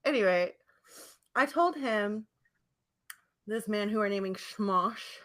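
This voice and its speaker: Woman, medium pitch, podcast co-host, casual comedic chat, animated storyteller, slangy, quick to laugh. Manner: animated storytelling, acts out her own text replies.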